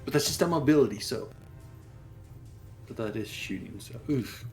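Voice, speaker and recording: A man's voice: mid-range voice, animated, moderate pace, soft background music. A man playing a tabletop roleplaying game, chatting casually with a wry, joking manner.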